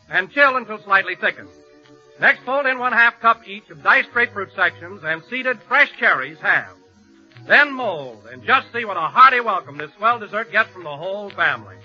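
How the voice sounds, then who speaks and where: An American man announcing in an enthusiastic, emphatic 1940s radio commercial style. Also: high-pitched. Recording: muffled vintage 1940s radio broadcast.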